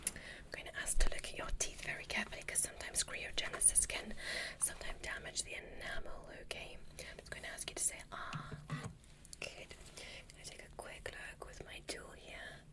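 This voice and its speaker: A woman voicing a polite, curious alien medical examiner in an ASMR roleplay, whispering gentle, formal step-by-step instructions. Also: normal speed, lightly acted. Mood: soothing, attentive.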